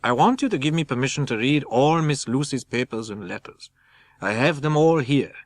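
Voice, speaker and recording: A man voicing a stern, commanding old foreign professor in a dramatic audiobook, with deliberate, halting phrasing. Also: medium pitch, expressive, lightly acted. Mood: solemn request.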